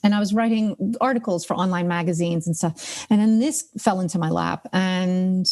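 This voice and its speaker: A woman's voice: fairly low pitch, normal speed, a little mellow. A woman from the United States whose first language is Ukrainian, talking as a guest author over a video call in a chatty way, with many ums and restarts. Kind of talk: casual interview storytelling.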